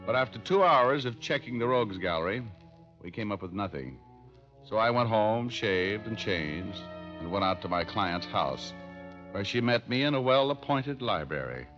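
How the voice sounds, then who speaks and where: A man's voice: fairly low pitch, expressive, moderate pace, lightly acted. An American man playing a hard-boiled, wisecracking private detective in a vintage radio drama, breezy and sardonic. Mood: wry narrating. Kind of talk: 1940s-50s radio detective drama, wry narration. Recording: muffled old radio recording with music.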